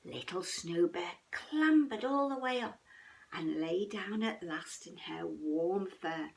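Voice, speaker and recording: A woman reading a children's bedtime story expressively, dramatic and at times hushed. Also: lowish voice, lively intonation, slow, vocal fry, distant mic.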